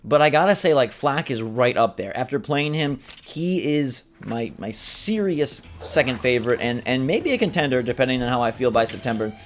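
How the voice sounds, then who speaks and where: Man doing gameplay commentary over game noise. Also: mid-range voice. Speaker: gameplay video host. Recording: game sound loud behind voice. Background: video game action noise.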